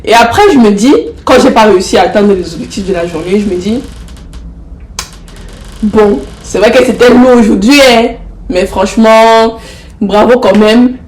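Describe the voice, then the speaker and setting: A woman's voice: medium pitch, normal speed, darkish tone. A young woman with the flu and a stuffy nose gives a casual motivational talk to camera. She coaxes her viewers affectionately and repeats phrases for emphasis. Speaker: motivational vlogger. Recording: roomy echo.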